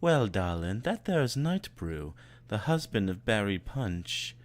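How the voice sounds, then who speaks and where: A man voicing a genteel, gossipy, dramatic female cartoon pony in an affected, drawn-out manner. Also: on the low side, sing-song. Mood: knowing. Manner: affected, drawn-out, calls listener darling.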